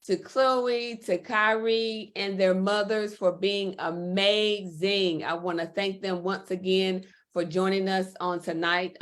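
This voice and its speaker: A woman hosting a livestream interview in an upbeat, encouraging way. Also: lowish voice. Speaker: host.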